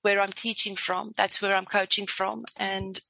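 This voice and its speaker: A woman's voice: medium pitch, flat delivery, moderate pace, gravelly, pushed and bright, no echo, poor audio. A woman from South Africa with a South African accent, a native Afrikaans speaker, talking effusively as a guest on an interview podcast. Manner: effusive, enthusiastic, searching for words. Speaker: spiritual life coach guest.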